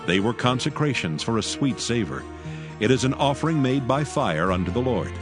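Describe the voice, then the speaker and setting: A man narrating scripture in a steady, reverent, measured way for a dramatized audio Bible. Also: medium pitch. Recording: narration over clear music. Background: music.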